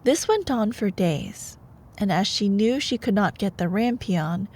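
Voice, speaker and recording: A woman in an ASMR bedtime storytelling style, expressive and playful, like a girlfriend reading aloud. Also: medium pitch, measured pace.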